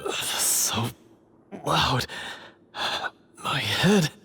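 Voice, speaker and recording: Slow, earnest, contrite hunter. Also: male voice, mid-range voice, animated, sharp tone, heavily acted. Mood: groggy, pained. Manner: earnest, halting, sighing. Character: contrite, exhausted male hunter, earnest and honorable. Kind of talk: video game voice acting.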